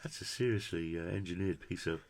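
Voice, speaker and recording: A man's voice: low voice, fairly quick, a little hiss or hum. A British man presenting a casual hands-on product review in a chatty, jokey, effusive way with quaint turns of phrase. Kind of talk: casual hands-on unboxing commentary.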